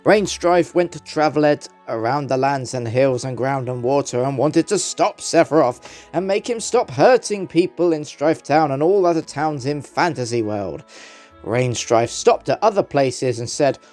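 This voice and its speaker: A man's voice: medium pitch, expressive, moderate pace, darkish tone. A man narrating a story as a theatrical, mock-earnest dramatic reading. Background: background music.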